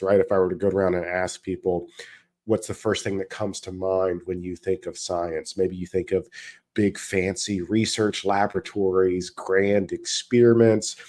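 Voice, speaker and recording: A man's voice: lowish voice, mellow. A man, a history and philosophy educator, giving commentary on a video clip while getting over a cold, sounding a little nasal. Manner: measured, explanatory, repeats phrases for emphasis.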